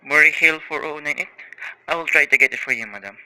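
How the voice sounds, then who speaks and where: A man acts as an unflappable telephone operator in a radio drama. The voice is very bright and high, clipped and formally polite, with a noisy background. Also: fast talker. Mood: polite, impassive. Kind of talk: radio play acting.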